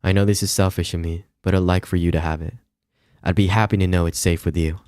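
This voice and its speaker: A man playing a tender, sorrowful lover reading out a farewell letter, gentle and earnest, in a visual novel. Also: low-pitched, steady tone, quick pace, clean tone, close to an everyday voice. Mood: sorrowful, loving.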